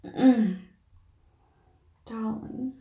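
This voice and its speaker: Breathy whispering woman in echoey bathroom. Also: on the high side, very slow, warm tone. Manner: breathy, often whispering, moaning. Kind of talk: intimate erotic audio monologue. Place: bathtub in a bathroom. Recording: echoey bathroom, distant mic.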